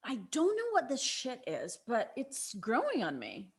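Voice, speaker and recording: Woman acting brash, lively high-voiced young rebel. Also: measured pace, husky, full character voice. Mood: flippant. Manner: flippant, slangy, defiant. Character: Sunrise, brash, rebellious twenty-one-year-old woman. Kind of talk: screenplay table read.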